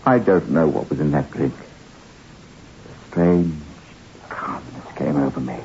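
A man's voice: very deep voice, slow pace, creaky, a little mellow, full character voice. A man playing a haunted, distraught castaway recounting his ordeal in an old radio horror play, intense and theatrical. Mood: weary, haunted. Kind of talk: 1960s radio horror acting and narration. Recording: muffled old radio with faint noise.

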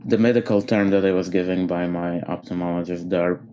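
A man from Egypt, a concert pianist, answering interview questions thoughtfully with many pauses and fillers. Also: low-pitched, steady tone, mellow, a little hiss or hum.

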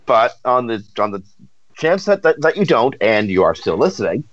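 A man's voice: medium pitch, big pitch swings, normal speed, dry recording, muffled recording. A man co-hosting a casual talk show, explaining things with playful, jokey asides. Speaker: podcast co-host. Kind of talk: casual chat.

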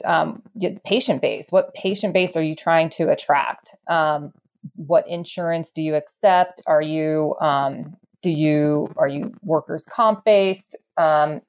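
Female interview guest, low pitch, property professional, thoughtful explanatory delivery with a halting flow. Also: a little mellow. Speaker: real estate expert guest.

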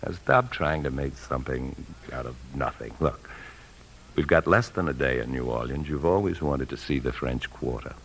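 Deep-voiced, evasive 1950s radio husband. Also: male voice, expressive, moderate pace, a little hiss or hum, heavily acted. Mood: soothing, evasive. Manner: smooth-talking, placating, guarded. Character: evasive anxious husband on the run, confessional narrator. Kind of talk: 1950s radio drama acting and first-person narration. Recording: 1950s radio broadcast, faint music.

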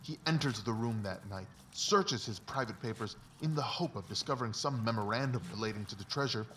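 A man's voice: on the low side, a little fast, a bit bright, close to an everyday voice. A confident Victorian detective acted by a man lays out his reasoning like a lecture, precise and emphatic. He sounds set back from the microphone, with faint noise behind him, in the manner of a dramatised audiobook. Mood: intent reasoning. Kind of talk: dramatised literary audiobook dialogue. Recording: distant mic, faint background noise.

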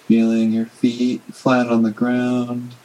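A man leading a guided body scan meditation in a gentle, unhurried manner with long pauses. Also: mid-range voice, completely flat delivery, low-quality recording.

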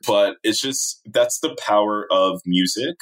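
A man's voice: fairly low pitch, unhurried. A man with a New York accent, a teacher, talking casually and eagerly as a podcast guest. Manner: enthusiastic, excitable, says "like" and "dude". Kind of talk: casual chat.

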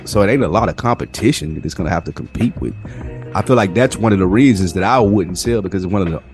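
A man's voice: deep voice, quick pace, darkish tone, soft background music. An American man co-hosting a podcast, debating TV shows casually in a blunt, slangy, argumentative way. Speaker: podcast host.

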